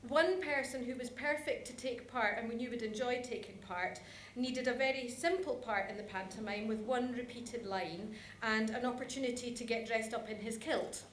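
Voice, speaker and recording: Woman conference presenter, chatty and self-deprecating, fairly high voice, roomy sound. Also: normal speed, hardly any pauses, a bit bright. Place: conference hall, live presentation. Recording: echoey room with background noise.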